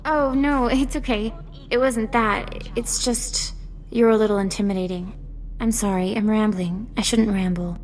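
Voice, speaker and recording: A woman's voice: medium pitch, fairly even intonation, a little slow, a little mellow, lightly acted. An actress playing a nervous young female servant in a video game, stammering and hesitant. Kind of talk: video game dialogue acting.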